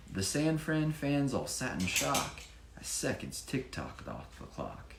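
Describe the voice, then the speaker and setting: A man reading his own children's picture book with expressive emphasis, pausing to explain it casually to a child. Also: mid-range voice. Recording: echoey room with faint background noise.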